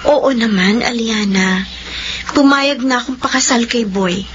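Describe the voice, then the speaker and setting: Young bride female actor, dutiful but hurt, higher register, romantic radio drama, dull sound with light background noise. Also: a little slow, close to an everyday voice. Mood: resolute, hurt. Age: young adult. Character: young pregnant bride, dutiful yet wounded.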